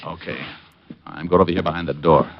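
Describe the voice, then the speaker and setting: A cocky private detective, speaking in a fairly low voice. He is glib and sarcastic, tossing off wisecracks. The recording is a muffled vintage radio show. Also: steady tone, a little fast, creaky. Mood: smug. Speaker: lead radio actor. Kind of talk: old-time radio detective acting.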